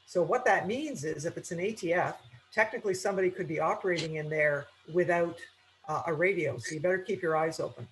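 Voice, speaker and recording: A man's voice: fairly high pitch, a little hiss or hum, poor audio. A man giving an informal instructional talk as an aviation instructor, explaining and stressing key points.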